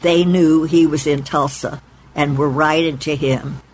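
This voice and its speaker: A woman in her eighties from Oklahoma, recalling her family's past in a measured, deliberate way in her apartment. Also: deep voice, fairly even intonation, a little hiss or hum. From Oklahoma, United States. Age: 80s. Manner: measured, deliberate, spells out names letter by letter. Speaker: oral history interviewee. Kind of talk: recorded oral history recollection. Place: her apartment in a retirement home.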